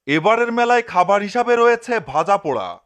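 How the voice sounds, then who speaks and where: Man playing a high, lively, fussy cartoon householder. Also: normal speed, smooth. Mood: grumbling. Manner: animated, declaiming to himself. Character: fussy male cartoon householder, grumbling and self-satisfied. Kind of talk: fan-dubbed cartoon comedy.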